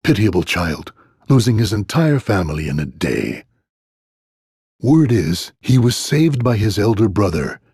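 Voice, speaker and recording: An actor playing a folksy, gossipy village innkeeper in a video game, husky and wary of strangers. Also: male voice, fairly low pitch, big pitch swings, a little slow, a little mellow, no room sound, clean studio sound, full character voice. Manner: folksy, gossipy rustic phrasing. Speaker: innkeeper character.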